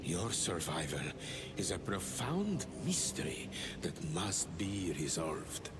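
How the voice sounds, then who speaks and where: An eerie slow-spoken tech-priest. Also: male voice, low-pitched, very animated, loud music behind the voice, full character voice. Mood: ominous. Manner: slow, lilting, eerily curious. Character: obsessive male tech-priest scholar, cold and probing. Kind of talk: video game cutscene acting.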